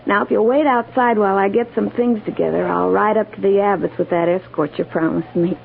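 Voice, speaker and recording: A woman's voice: mid-range voice, a little fast, gravelly, close to an everyday voice. An actress playing a plucky, forthright frontier settler woman in a 1950s radio western, direct in her speech. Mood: softened. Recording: muffled, hissy old-time radio recording.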